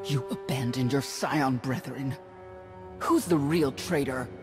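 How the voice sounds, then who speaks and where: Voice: medium pitch, takes it slowly. An actor playing a stern, righteous warrior in a video game, speaking in blunt, clipped commands. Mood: defiant. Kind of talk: dramatic video game acting. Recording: music behind the voice. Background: game score music.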